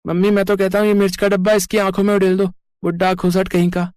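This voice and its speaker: A woman's voice: mid-range voice, little pitch movement, quick pace, darkish tone, dry recording, studio quality, natural voice. A woman voicing a cheeky, bold young village boy in a moral story. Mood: cheeky, angry.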